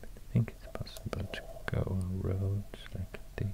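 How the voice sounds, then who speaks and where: A man with a Swedish accent giving soft-spoken, whispered ASMR in a hushed, halting way, close to a binaural microphone. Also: low voice, flat delivery, vocal fry. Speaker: ASMR host.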